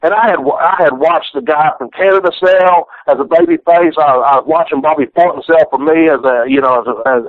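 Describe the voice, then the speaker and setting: A man's voice: on the high side, no room sound. A middle-aged man with a Southern accent, telling old stories in a rambling, profane, folksy way, often restarting his sentences. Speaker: retired pro wrestler guest. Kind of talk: candid storytelling interview. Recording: tinny phone line, dry.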